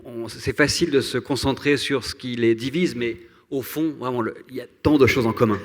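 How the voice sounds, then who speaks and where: A man interpreting live at a public event, calmly summarizing what the speaker said, with some fillers. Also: medium pitch, big-room sound.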